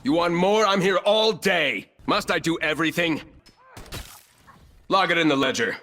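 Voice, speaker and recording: A man's voice: a little high, lively intonation, moderate pace, bright, forceful tone. A man voicing a ruthless, arrogant military operator in a shooter game, giving clipped, commanding combat callouts in a cold, contemptuous manner. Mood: cold, menacing.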